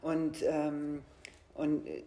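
A woman's voice: low voice, level tone, very slow, mellow. A woman coach with a German regional dialect, talking in a hesitant, thoughtful way with many fillers. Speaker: coach and podcast host. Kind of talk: casual conversation. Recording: echoey room.

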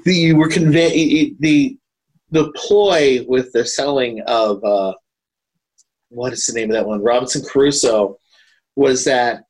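A man talking casually as a podcast co-host, haltingly, often repeating and correcting his words. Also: mid-range voice, breathy edge, rough recording. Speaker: podcast co-host reviewing horror films. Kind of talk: casual film discussion.

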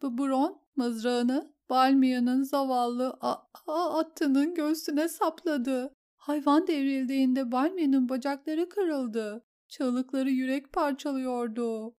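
A woman's voice: high-pitched, fairly even intonation, takes it slowly, dark tone, voice pushed up. A woman voicing a battered noblewoman who trembles, stammers and cries as she speaks. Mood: sobbing. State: crying.

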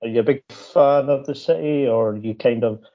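A man's voice: medium pitch, moderate pace. Stammering man asking interview questions. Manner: rambling, stammering, self-deprecating. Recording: dull, lo-fi sound.

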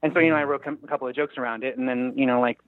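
A man's voice: medium pitch, steady tone, very fast, no echo. A man chatting casually as an interview guest, a writer on a remote call. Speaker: guest screenwriter. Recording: narrow phone-like line.

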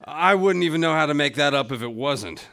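A man's voice: on the high side, expressive, brisk, creaky, fairly bright tone. A man voicing a wry American adventurer with dry humor.

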